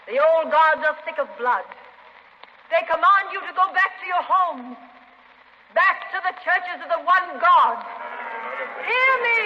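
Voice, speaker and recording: An actress playing a brave but frightened young woman in an earnest, melodramatic 1930s radio play, on an old muffled recording. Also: very high-pitched.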